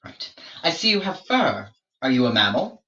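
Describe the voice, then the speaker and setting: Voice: mid-range voice, moderate pace, roomy sound, far from the mic, highs cut off. A storyteller doing a fussy, pompous English explorer. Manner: fussy, excitable.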